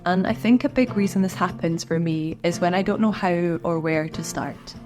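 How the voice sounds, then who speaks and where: A woman's voice: on the low side, moderate pace, music in the background. A woman artist chatting to camera in an encouraging, friendly way, sounding a little stuffed up from a cold. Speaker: artist and video creator. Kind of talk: casual talk to camera.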